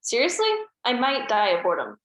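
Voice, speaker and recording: A woman's voice: fairly high pitch, huge pitch swings, a little fast, a bit bright. A woman reading the part of a wry, teasing young adult daughter. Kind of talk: table read. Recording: roomy echo.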